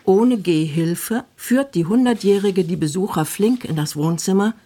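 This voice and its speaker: A woman's voice: mid-range voice, unhurried, warm tone. A woman reading scripted narration for a radio feature as its documentary narrator.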